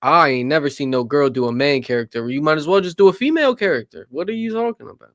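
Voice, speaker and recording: A man's voice: fairly high pitch, lively intonation, fast, fairly bright tone. A tipsy young man on a livestream panel, joking, rambling and trash talking. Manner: joking, rambling, self-described trash talker. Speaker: panel guest. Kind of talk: casual livestream panel chat.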